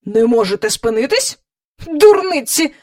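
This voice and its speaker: A woman's voice: sits high, sing-song, normal speed, no echo, clean studio sound, distant mic, voice pushed up. A woman voicing an irritable, self-important male king in a children's story, in a pompous and commanding manner. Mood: angry. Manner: pompous, imperious, exclaiming.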